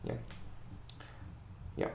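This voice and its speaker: A man's voice: drawn-out words, warm tone. A man from Russia with a Russian accent, giving a university lecture at a whiteboard, thinking aloud with frequent restarts and repeated words. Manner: repetitive restarts, thinks aloud while drawing. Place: lecture hall. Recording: echoey room, voice drifts off mic.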